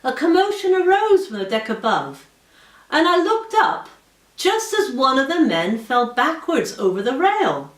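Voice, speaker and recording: A woman reading first-person fiction aloud in a measured, dramatic storytelling manner. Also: high-pitched, sing-song. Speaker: audiobook reader, author. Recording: roomy echo, distant from mic.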